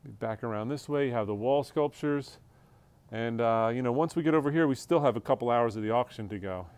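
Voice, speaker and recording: A man strolls a crowded furniture showroom, filming on a phone and rattling off the pieces as he passes them. His voice is smooth and clear, with a mid-range pitch. The sound is dry and close. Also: fairly quick, darkish tone, dry recording. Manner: offhand, listing items as he walks. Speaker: auction house staff giving a preview walkthrough. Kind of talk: casual walkthrough narration. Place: large indoor auction showroom full of furniture. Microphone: handheld phone camera, speaker off screen.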